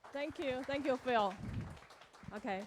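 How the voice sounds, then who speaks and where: A woman hosting an event, announcing speakers in a brisk, bright way. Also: sits high, background noise. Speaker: master of ceremonies. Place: university auditorium.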